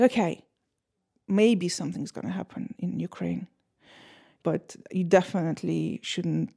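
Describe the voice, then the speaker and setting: Low, gravelly woman recalling things candidly. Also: warm tone. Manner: candid, slightly non-native phrasing. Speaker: interviewee. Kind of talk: interview recollection.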